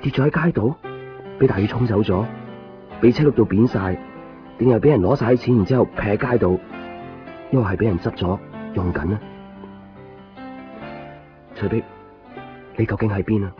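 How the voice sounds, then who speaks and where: A man's voice: fairly low pitch, normal speed, pauses often, close to an everyday voice. An actor playing a lovesick, obsessive young man in a Cantonese radio drama with a Hong Kong accent, plaintive and insistent. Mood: brooding, melancholy. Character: lovesick young copy-shop man obsessively searching for missing girlfriend. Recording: muffled 1990s radio drama recording. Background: music.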